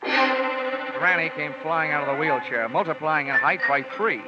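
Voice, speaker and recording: Wry radio detective, dull old recording. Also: male voice, fairly high pitch, animated, unhurried, over loud music. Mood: wry, hard-boiled. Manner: wry, wisecracking. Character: wry freelance insurance investigator, brisk and cynical, narrating. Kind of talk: 1940s radio detective narration and dialogue.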